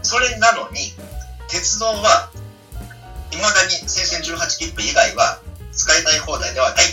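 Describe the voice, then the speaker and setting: A man's voice: fairly high pitch, expressive, a little slow, sharp tone, distant mic, soft background music. A man co-hosting a casual radio talk, speaking politely and chuckling as he explains his ideas. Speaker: radio co-host.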